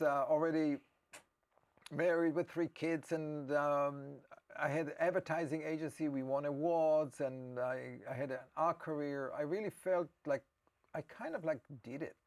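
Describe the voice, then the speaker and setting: Gravelly Israeli man, halting and reflective. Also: mid-range voice. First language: Hebrew. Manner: hesitant and reflective, with frequent restarts. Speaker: guest. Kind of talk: personal storytelling in an interview.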